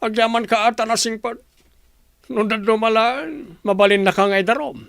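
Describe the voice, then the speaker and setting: A man's voice: sits high, moderate pace, gravelly, fairly bright tone, no echo. A man playing a stern, probing father in an Ilocano radio drama, speaking emphatically. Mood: stern scolding.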